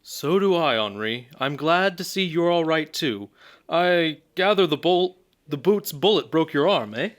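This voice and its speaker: Radio serial hero, male actor: noble, genial, self-assured, with a highly varied, lively delivery. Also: measured pace. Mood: brisk, friendly. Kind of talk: old-time radio serial acting.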